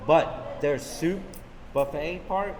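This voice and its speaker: A man's voice: a little high, takes it slowly, big-room sound, over a noisy crowd. A man in his forties, born in Vietnam, answering a vlogger's questions in easy, short replies inside a restaurant. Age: 40s. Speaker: guest. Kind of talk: casual interview. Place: busy restaurant. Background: diners chatting.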